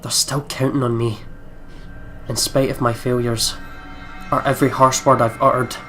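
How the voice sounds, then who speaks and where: A man's voice: mid-range voice, flat delivery, moderate pace, a bit bright, soft background music. A man hosting a let's play, reading visual novel narration dramatically and chatting casually in between.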